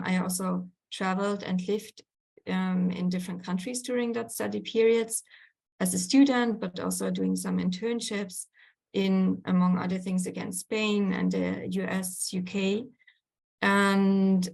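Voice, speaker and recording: Creaky-voiced woman lecturing online. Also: medium pitch, darkish tone. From Germany. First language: German. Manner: hesitant, many fillers and restarts. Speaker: guest lecturer. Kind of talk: online workshop presentation. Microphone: video call.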